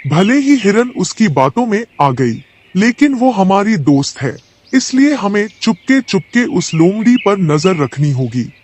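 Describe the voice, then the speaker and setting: A man voicing a loyal, watchful crow, an earnest friend in an animated animal fable. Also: sits high, measured pace, slight background noise. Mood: concerned, resolute.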